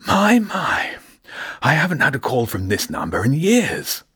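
A man voicing an elderly, genial dealer with a wheezy, husky voice and a slow, courteous, old-fashioned manner. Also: medium pitch, very animated, a bit bright, no echo, studio quality, voice pushed up, heavily acted. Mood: excited, warm.

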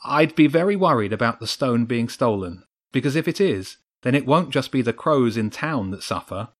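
A man's voice: mid-range voice, lively intonation, moderate pace, lightly acted. A man voicing an earnest, worried boy adventurer in a children's audiobook, a little hesitant.

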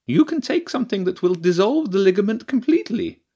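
A man's voice: high voice, big pitch swings, a little fast, warm tone, breathy edge, voice pushed up, full character voice. Man voicing a female badger priestess, raised voice, sly, impatient, dry mocking tone, storybook. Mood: curious, sly. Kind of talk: audiobook character voice.

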